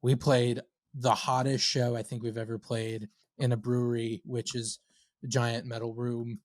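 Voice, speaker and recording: A man's voice: medium pitch, little pitch movement, unhurried, no room sound, studio quality. A man, a guest band member, telling rambling self-deprecating stories in a casual podcast chat.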